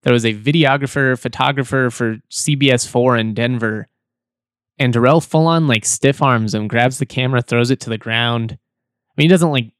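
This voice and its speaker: A man with a slightly hoarse voice hosting a casual sports podcast, talking loosely and repeating words as he goes. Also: mid-range voice, moderate pace, no echo, studio quality. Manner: chatty, repeats words, laughs between points.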